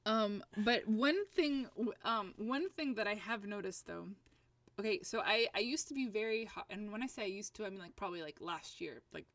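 A woman's voice: mid-range voice, normal speed, no room sound. Young woman, casual chat. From Libya. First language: Arabic. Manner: animated, laughing, mixes Arabic and Italian words.

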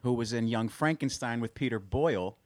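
A man's voice: medium pitch, brisk, dry recording. A man co-hosting a casual movie podcast, searching aloud for names with many ums and uhs. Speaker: podcast co-host.

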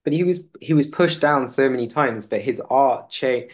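A man speaking as an interview guest in a conversational way, hesitant and halting, often rephrasing as he goes. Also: mid-range voice.

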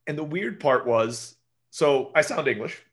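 A man's voice: a little high, lively intonation, measured pace, a bit bright. A man in his forties with an American accent, a native Turkish speaker raised in Turkey, telling stories as an interview guest and often tagging his sentences with "right?". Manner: rambling, tag questions like "right?". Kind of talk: casual interview talk.

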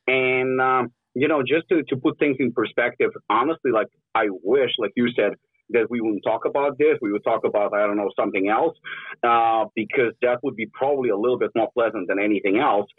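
A man's voice: medium pitch, a little fast, breathy edge, no echo. A man in his forties from Ukraine with a mixed, Russian-tinged accent, talking candidly and bluntly as a podcast guest, swearing freely. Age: 40s. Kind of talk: casual podcast interview. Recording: tinny phone-like line.

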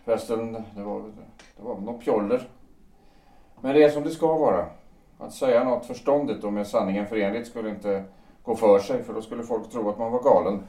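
A man's voice: fairly low pitch, warm tone, natural voice. A man playing a wry, world-weary, slightly tipsy man home from abroad in a period audio drama, rambling with irony. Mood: tipsy, disillusioned. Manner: rambling, ironic, hesitant. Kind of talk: audio drama acting of a period stage play. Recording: roomy, distant microphone.

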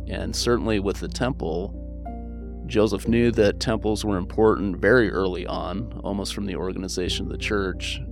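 A man's voice: deep voice, vocal fry. A man speaking as a historian guest, explaining religious history in a conversational way.

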